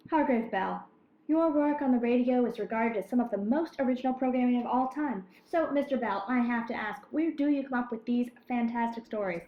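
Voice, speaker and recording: On a dull, echoey vintage tape, a woman acts a 1950s radio interviewer. She has a fairly high voice and puts her questions with formal, inquisitive politeness. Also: a little fast, natural voice. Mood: polite, curious.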